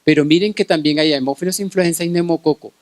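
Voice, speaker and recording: Higher-voiced Colombian Caribbean man lecturing. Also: quick pace, no echo. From Cartagena, Colombia. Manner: checks in with listeners through frequent short tag questions. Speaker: pediatric infectious disease specialist giving a lecture. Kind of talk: conference medical lecture.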